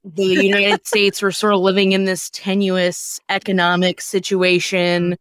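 A woman's voice: mid-range voice, monotone, measured pace, fairly bright tone, no echo. A woman co-hosting a podcast in casual, conversational chat, speaking with emphasis. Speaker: podcast co-host.

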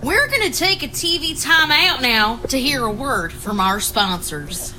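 A woman's voice: sits high, lively intonation, unhurried, sharp tone, a little hiss or hum, full character voice, low-quality recording. A woman playing an upbeat sports co-commentator reporting on the church field. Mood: announcing. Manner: sportscaster banter. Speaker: co-commentator in a comedy sketch.

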